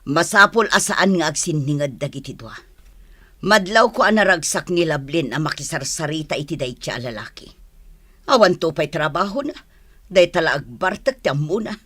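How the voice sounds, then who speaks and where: A stern middle-aged Ilocano father, full of disapproval in a radio drama. His voice is forceful and sharp, in a dry recording. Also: on the high side, animated, normal speed, breathy edge, no echo, exaggerated voice. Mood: stern, disapproving. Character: stern, disapproving village parent of a grown daughter.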